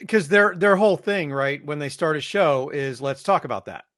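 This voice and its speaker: A man's voice: a little high, normal speed, fairly bright tone, dry recording. A man co-hosting a podcast, talking in an opinionated, emphatic casual way. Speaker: podcast co-host. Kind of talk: casual chat.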